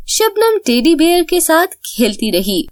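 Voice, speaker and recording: A woman storyteller narrating for children in a very high, lively voice. Her delivery is slow and the sound is close and dry. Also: dry recording.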